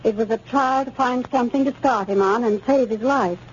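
A woman's voice: fairly high pitch, brisk, lightly acted. An actress playing an earnest, grateful mother in a 1930s radio advertising testimonial. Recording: noisy muffled 1930s radio recording.

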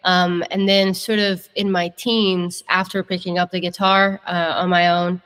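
A woman's voice: fairly low pitch, little pitch movement, a little slow, fairly bright tone, big-room sound. Chatty young woman from Italy. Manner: chatty, candid storytelling. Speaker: guest singer-songwriter. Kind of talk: casual interview.

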